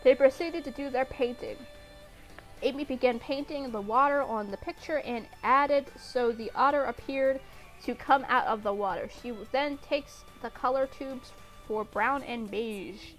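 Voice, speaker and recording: A woman's voice: fairly high pitch, fairly bright tone. A woman narrating fan fiction and breaking off for irreverent, informal asides. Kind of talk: story narration with casual asides. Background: music.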